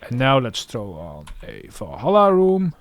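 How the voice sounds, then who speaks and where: A man's voice: mid-range voice, huge pitch swings, measured pace, no room sound. A man hosting a music production tutorial, talking casually and thinking aloud as he works. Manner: thinking aloud while working. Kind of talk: casual screen-recorded tutorial commentary.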